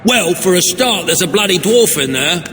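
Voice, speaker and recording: An actor playing a blunt, irreverent, rough-spoken Norse companion in a video game, talking in salty colloquial phrases. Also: male voice, sits high, lively intonation, normal speed, pushed and bright, faint music behind. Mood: gruff, dismissive. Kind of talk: video game cutscene acting. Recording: reverberant hall, clear background noise.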